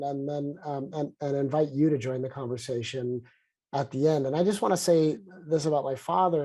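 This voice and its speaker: A man's voice: fairly high pitch, warm tone. Congested man on a video call. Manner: earnest, halting with restarts. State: congested from a head cold. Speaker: sociologist and conversation co-lead. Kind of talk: webinar conversation.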